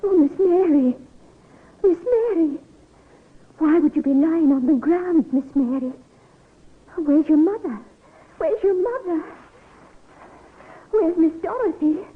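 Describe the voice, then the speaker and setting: A woman's voice: very high-pitched, expressive, slow pace, pauses often, exaggerated voice. An actress playing a timid, obedient young servant girl in a vintage radio drama. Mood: dazed, distraught. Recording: muffled, hissy old radio recording.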